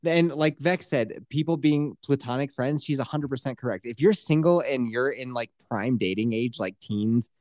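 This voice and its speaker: A man's voice: mid-range voice, moderate pace, few pauses, no echo, studio quality. Blunt, crude-talking male podcast host. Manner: blunt, crude, animated storytelling. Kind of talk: casual advice podcast chat.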